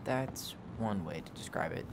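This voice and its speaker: A man's voice: fairly low pitch, lively intonation, moderate pace, creaky, background noise. An actor playing a guarded, wry young man in a naturalistic video game performance. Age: young adult.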